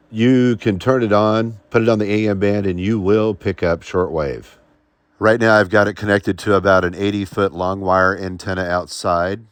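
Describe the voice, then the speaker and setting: A man's voice: low-pitched, no echo. An American man in his fifties giving plain-spoken, folksy how-to narration as a hobbyist video host. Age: 50s.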